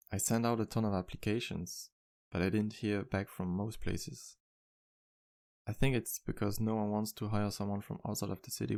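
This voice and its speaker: A man playing a charming, modest vampire bartender in an intimate ASMR roleplay, speaking gently with courteous, slightly formal phrasing. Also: lowish voice, vocal fry. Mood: wry, guarded. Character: charming, polite, modest male vampire bartender with guilty past. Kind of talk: ASMR roleplay, intimate one-sided dialogue.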